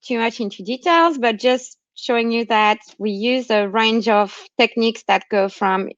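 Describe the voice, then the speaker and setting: A woman with a fairly high voice and a non-Australian accent presents research in an explanatory, academic way. She often checks that listeners follow with short tags. The sound is dry and somewhat dull, like an online call. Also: normal speed, fairly bright tone, dry recording. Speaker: university researcher giving a talk. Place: remote online talk during lockdown. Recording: muffled lo-fi online call audio.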